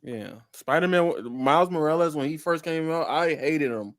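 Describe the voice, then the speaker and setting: A man's voice: on the high side, lively intonation, moderate pace. A man who is a guest on a casual panel talk, speaking hesitantly with fillers and frequently checking "right?".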